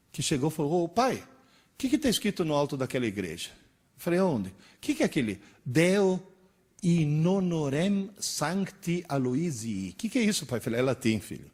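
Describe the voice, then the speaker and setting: Husky lively man in his sixties lecturing. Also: medium pitch. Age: 60s. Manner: lively storytelling, questions to the audience. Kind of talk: live lecture to an audience.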